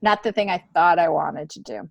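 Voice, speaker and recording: A middle-aged woman talking casually and candidly as an interview guest, telling stories in an animated way and acting out bits of dialogue. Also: on the low side, a little fast, gravelly, dry recording. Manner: animated storytelling, acts out remembered dialogue. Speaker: comedian and TV worker, podcast guest.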